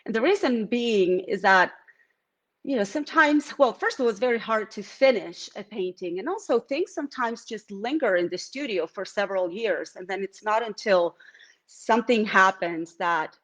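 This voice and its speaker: A woman's voice: mid-range voice, expressive, normal speed, a bit bright. Reflective middle-aged woman, Venezuelan accent. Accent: Venezuelan Spanish. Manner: reflective, with many fillers and restarts. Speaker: artist. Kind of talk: live-streamed artist talk. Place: home art studio.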